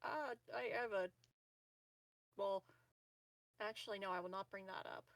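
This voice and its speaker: A man's voice: sits high, unhurried, vocal fry, fairly bright tone, no room sound, rough recording. A man chatting casually as a player in a tabletop game, hesitant, joking and sweary.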